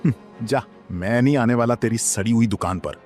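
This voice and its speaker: A man's voice: mid-range voice, moderate pace, a little mellow, faint music behind, natural voice. A man voicing a jealous rival village shopkeeper in a scornful, taunting manner. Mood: contemptuous.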